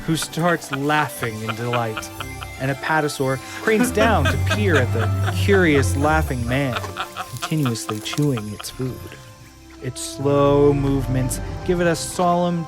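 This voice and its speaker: A man narrating an audio drama, reading scene descriptions in a measured storytelling style. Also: fairly high pitch. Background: music.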